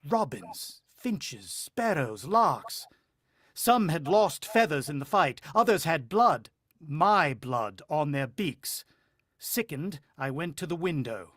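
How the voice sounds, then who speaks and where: A man's voice: a little high, a bit bright, dry recording, exaggerated voice. A man acting in a radio drama as a shaken, earnest, protective father with a hesitant way of explaining himself. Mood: grim, somber. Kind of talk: radio drama acting and first-person narration.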